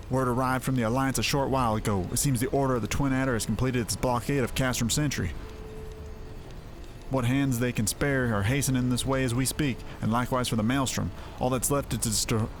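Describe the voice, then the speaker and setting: An actor playing a gruff but caring military ally in a video game, urgent and informal as he briefs a comrade. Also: medium pitch, a little hiss or hum, natural voice.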